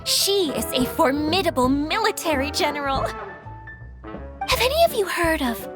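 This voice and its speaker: An actress playing a gentle, anxious caretaker girl in an anime video game, speaking politely and earnestly with some hesitation. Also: high voice, sing-song, unhurried. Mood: proud, formal. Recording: music behind the voice. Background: game music.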